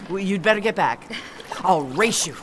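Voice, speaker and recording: A woman's voice: on the low side, lively intonation, moderate pace, close to an everyday voice. An actress playing a reserved woman writer from Montana in a radio drama, speaking in a dry, frank, clipped way. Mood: dry, polite. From Montana, United States. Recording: noisy outdoor lake scene, water sounds.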